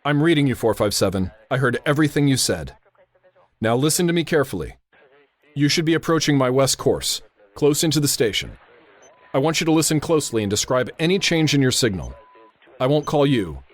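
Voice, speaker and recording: An actor playing a steady, grave radio operator who gives precise, authoritative instructions and narrates in the style of old-time radio drama. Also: male voice, mid-range voice, fairly quick, no room sound, close to an everyday voice. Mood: firm, instructing.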